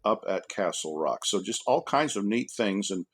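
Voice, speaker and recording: A man with a fairly low voice speaks as an academic guest in a relaxed interview. His delivery is measured and halting, like a professor choosing his words. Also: slightly rough. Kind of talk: casual podcast interview.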